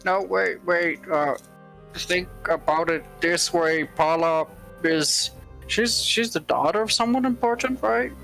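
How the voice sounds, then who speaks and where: A man's voice: high-pitched, unhurried, creaky, bright, forceful tone, faint music behind, rough recording. A man playing a tabletop game, casually and eagerly explaining his plan, often repeating himself.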